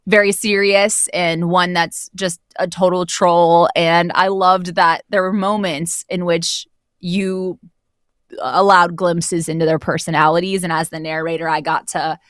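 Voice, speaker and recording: Female guest narrator, slightly low voice, enthusiastic and reflective in casual talk, dry close recording. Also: level tone, unhurried, a bit bright, no room sound. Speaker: guest, audio drama narrator. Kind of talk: casual conversational interview.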